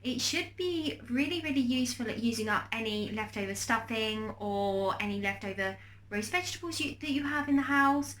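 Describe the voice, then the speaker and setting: A young woman with a fairly high voice talks to her camera while she cooks and eats. She has a bit of a cold that makes her sound faintly wheezy, and she rambles along with lots of ums and restarts. Faint noise sits behind her. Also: animated, moderate pace, a bit bright. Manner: chatty and rambling, many ums and trailing restarts. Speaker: vlogger. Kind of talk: casual cooking vlog and eating on camera.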